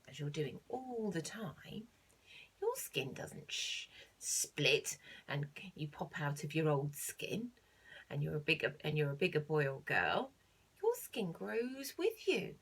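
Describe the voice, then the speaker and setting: Husky, very animated woman reading to children. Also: medium pitch. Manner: expressive storytelling to young children; simple explanations with questions to the listener. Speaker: primary school teacher. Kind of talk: reading a children's nature book aloud with explanations.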